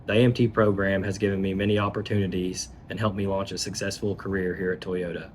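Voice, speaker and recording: A Kentucky man in his thirties speaks in a very deep, flat voice. His delivery is steady and measured, like a prepared testimonial. A faint factory hum runs underneath. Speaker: safety manager. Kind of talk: scripted testimonial. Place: manufacturing plant.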